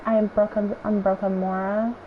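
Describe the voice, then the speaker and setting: Hoarse woman streamer rambling over gameplay. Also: medium pitch, flat delivery, normal speed. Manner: rambling, chatty, thinking aloud. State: hoarse, raspy voice. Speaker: game streamer. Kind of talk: casual gameplay commentary. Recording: dull lo-fi stream audio with faint noise.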